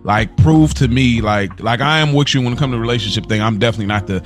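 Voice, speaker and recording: A man's voice: mid-range voice, quick pace. Questioning man with faint music behind. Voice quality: probing, asks follow-up questions. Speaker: podcast co-host. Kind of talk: casual chat.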